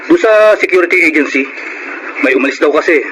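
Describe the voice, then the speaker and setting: A man playing a domineering, hot-tempered Filipino husband in a radio drama, with a gruff, bullying manner. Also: on the high side, fast, a bit bright, lightly acted. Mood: casual, boastful. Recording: noisy radio drama recording.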